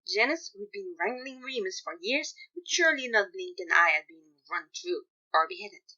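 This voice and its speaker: A woman's voice: medium pitch, bright, forceful tone. A woman narrating fan fiction as an amateur reader, now and then stumbling and rereading a word. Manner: stumbles over and rereads words. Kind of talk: scripted story narration. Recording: dull, lo-fi home recording.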